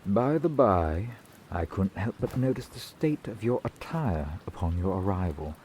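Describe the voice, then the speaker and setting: A man playing a gruff, grumbling but reluctantly kind fantasy town blacksmith in a slow, dry ASMR roleplay. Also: sits low, slight background noise. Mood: dry, reproachful. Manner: curt, grumbling, dry, wordy sarcasm. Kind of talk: ASMR roleplay, slow scripted character acting. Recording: steady rain sound behind the voice. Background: rain falling.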